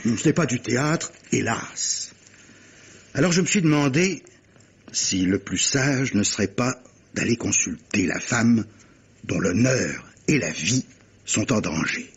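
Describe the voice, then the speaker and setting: An actor playing a grave gentleman captain in a radio mystery, speaking in a measured, insistent, formal way, in a 1950s French style. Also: medium pitch, lively intonation, slightly rough, muffled recording, full character voice. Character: grave gentleman captain pressing a guilty woman with hypotheticals. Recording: old radio drama recording.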